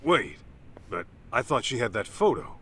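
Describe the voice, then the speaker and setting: A man's voice: mid-range voice, very animated, moderate pace, a bit bright, slight background noise. An actor playing a blunt, street-smart male detective who questions people casually and skeptically in a video game drama. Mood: puzzled, pressing. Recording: music behind the voice.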